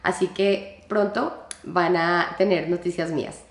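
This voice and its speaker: A woman from Colombia, a psychologist, giving measured, explanatory interview answers. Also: on the low side, fairly even intonation, roomy sound.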